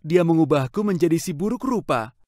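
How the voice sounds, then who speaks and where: Woman doing a low gentle cursed beast-prince. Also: expressive, brisk, no room sound, clean studio sound. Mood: earnest.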